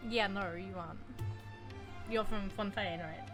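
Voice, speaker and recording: A woman's voice: medium pitch, steady tone, unhurried. A woman giving casual, chatty, reactive commentary on a game playthrough, with slangy asides. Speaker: gaming video creator. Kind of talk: casual game playthrough commentary, reading dialogue choices aloud. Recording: lofi music behind.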